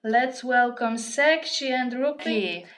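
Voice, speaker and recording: Woman, fairly high voice, giggly, playful, hosting a casual interview. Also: slow, fairly bright tone, slight background noise. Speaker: interview host.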